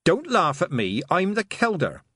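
A man voicing a stubborn, sharp-witted young girl who speaks indignantly. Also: mid-range voice, wildly swooping pitch, moderate pace, slightly rough, no echo, pitched above the performer's own voice, heavily acted. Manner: indignant, determined.

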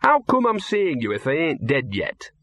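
A man voicing a scruffy, sardonic old street dog who is hoarse and dying, speaking with rough, working-class grammar in a wry, grumbling way. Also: fairly high pitch, huge pitch swings, moderate pace, fairly bright tone, no room sound, pitched above the performer's own voice, full character voice. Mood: wry, weary.

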